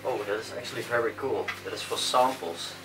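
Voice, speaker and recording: A man's voice: mid-range voice, fairly quick, noisy background. A young man exploring an abandoned aquarium with a friend, making brief casual remarks. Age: young adult. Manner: brief remarks, loud startled cries of disgust. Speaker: exploring companion.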